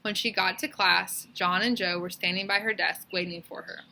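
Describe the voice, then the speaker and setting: A woman reading a children's story aloud as a teacher, in expressive narration. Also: lowish voice, moderate pace, vocal fry, a little hiss or hum, low-quality recording.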